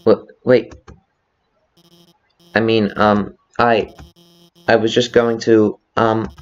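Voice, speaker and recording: A man's voice: lowish voice, little pitch movement. A man voicing a nervous, guilt-ridden scientist with a heavy stutter in a fan dub of a video game. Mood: nervous, halting. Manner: heavy stutter, halting, anxious. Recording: slightly dull lo-fi audio.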